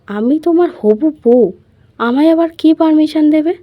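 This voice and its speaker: A woman's voice: high voice, normal speed, no echo, lo-fi sound, voice pushed up, natural voice. A woman voicing a possessive, bad-tempered rich young fiancée with a pushy manner in an audio love story. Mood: possessive, insistent. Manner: pushy, needling questions.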